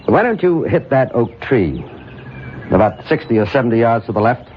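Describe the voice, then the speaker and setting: An actor playing a troubled, evasive doctor in a radio drama, guarded and weary. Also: male voice, mid-range voice, sing-song, normal speed, lightly acted. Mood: baffled. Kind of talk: old-time radio drama acting. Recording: noisy, muffled old radio recording.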